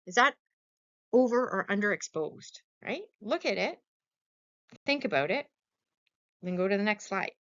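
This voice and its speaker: A slightly muffled, lo-fi recording carries a woman giving an informal lecture. Her pitch sits in the middle range for a woman. She explains things conversationally, often checking in with short questions to her students. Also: expressive, unhurried, pauses often, fairly bright tone. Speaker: lecturer teaching veterinary radiography. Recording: dull, lo-fi voice-over recording.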